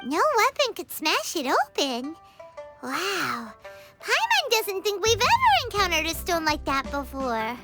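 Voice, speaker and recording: A woman voicing a small, childlike fairy companion in a video game, with a squeaky and perky delivery. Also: wildly swooping pitch, a little slow, over loud music, exaggerated voice. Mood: curious, amazed. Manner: perky, refers to herself in third person. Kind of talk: video game character acting.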